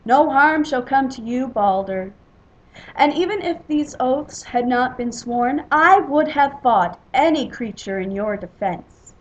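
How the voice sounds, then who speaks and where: A woman's voice: mid-range voice, slow, darkish tone, dull audio, natural voice. A woman voicing boastful, rowdy drunken Norse warriors in a storybook myth.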